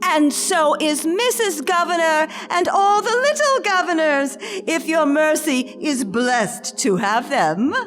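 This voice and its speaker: An actress playing a flattering, grandiose female charlatan presenting a puppet show in a theatrical reading, with grand, deliberate delivery. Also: high-pitched, exaggerated voice. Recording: reverberant hall, music underneath.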